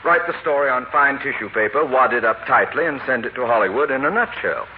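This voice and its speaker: A genteel, self-important mayor in a 1940s radio comedy , played by a man. He talks with urbane pomp and very varied intonation. The old broadcast sounds thin and clearly noisy. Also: medium pitch, animated, brisk, narrow, tinny audio, lightly acted. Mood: urbane, pleased. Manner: urbane, pompous.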